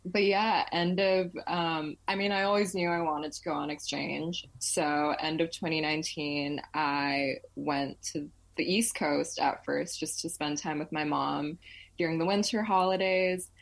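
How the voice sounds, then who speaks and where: Low-voiced young woman, chatty guest. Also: fairly even intonation, measured pace, creaky. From Malaysia. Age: 20s. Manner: chatty, filler-heavy, laughs often. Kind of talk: casual interview chat.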